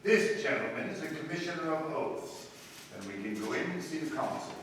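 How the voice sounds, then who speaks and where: Guy playing a shrewd formal detective in a radio play, slightly high voice, very echoey and far from the mic. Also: animated, fairly quick, a bit bright. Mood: grave, formal. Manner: formal, assured, precise. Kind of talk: old-time radio drama acting. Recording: echoey, distant, noisy radio play recording.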